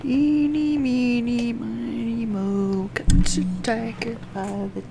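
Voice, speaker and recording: Woman game streamer, really slow halting talk, highish voice, bit of background noise. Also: fairly even intonation, gravelly. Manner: halting, thinks aloud. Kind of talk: live game commentary, reading on-screen text aloud.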